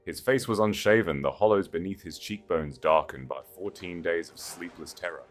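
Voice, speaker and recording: A man's voice: sits low, normal speed, faint music behind. A man reading a dramatic scripted romance as an audiobook narrator.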